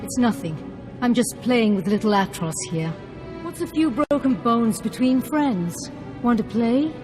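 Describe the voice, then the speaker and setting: A woman's voice: fairly high pitch, lively intonation, a little slow, music in the background. An actress playing a deranged female Jedi master in a video game, menacing and tormented, with a theatrical delivery. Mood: menacing, taunting. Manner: theatrical, unhinged.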